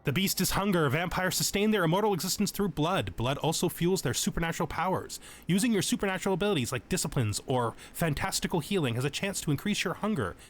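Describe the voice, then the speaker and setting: A man's voice: fairly high pitch, animated, fast, few pauses. A man giving a tutorial-style gaming commentary, moving between reading out game text and explaining it informally. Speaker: host of a gaming channel.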